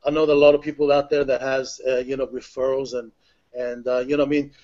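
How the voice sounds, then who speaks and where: A man hosting an online members' call, talking in a halting, filler-heavy, upbeat way. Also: mid-range voice, little pitch movement, a little mellow, dull audio. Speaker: host of a members' webinar. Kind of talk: casual online webinar hosting.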